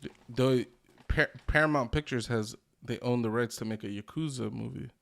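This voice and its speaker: A man's voice: medium pitch, normal speed, creaky. A man co-hosting a casual podcast chat, talking emphatically with slang and swearing. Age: adult with a child. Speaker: podcast co-host.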